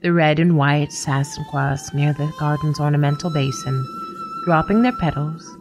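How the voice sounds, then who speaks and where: A woman playing a nameless, observant house cat, narrating a literary radio story in a measured, formal, deadpan way. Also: deep voice, natural voice. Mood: wry, reflective. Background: music.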